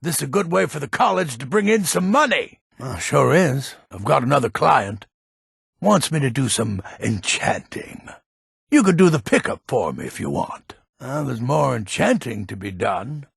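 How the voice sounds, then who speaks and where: Gravelly, grumpy old fantasy nobleman. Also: medium pitch, sing-song, normal speed, fairly bright tone, dry recording, studio quality, heavily acted. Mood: gruff, brisk. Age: older. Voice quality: gravelly, raspy. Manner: gruff, curt, impatient. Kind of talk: video game character dialogue.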